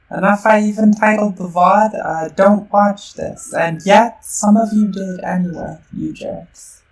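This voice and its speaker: A woman streamer with a sore throat talks slowly and with a gravelly edge while she works through a board game out loud, full of ums and restarts. Her voice comes across a little robotic, and the mic sits back from her in a roomy space with a faint hiss behind. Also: medium pitch, recorded from a distance. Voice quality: slightly robotic-sounding voice. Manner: thinks aloud with many fillers and restarts. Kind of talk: casual live-stream game commentary.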